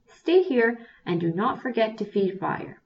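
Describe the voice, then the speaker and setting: A woman's voice: fairly high pitch, big pitch swings, unhurried, pitched above the performer's own voice. A woman voicing an old, hungry female bear in a storybook tale, stern and pleading. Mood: commanding. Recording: distant, dull lo-fi recording.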